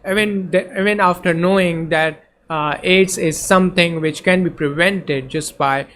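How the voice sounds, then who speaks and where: A man's voice: high voice, fairly even intonation, measured pace, fairly bright tone. A man whose first language is Urdu, teaching in a casual lecture over slides, hesitant, with many fillers and restarts. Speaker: tutor.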